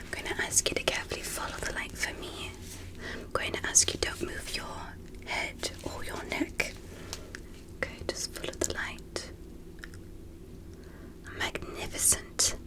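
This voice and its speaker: A woman whispering softly and breathily in an ASMR medical roleplay, speaking gently and deliberately in short measured phrases as a fascinated alien doctor. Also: poor audio. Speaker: ASMR performer.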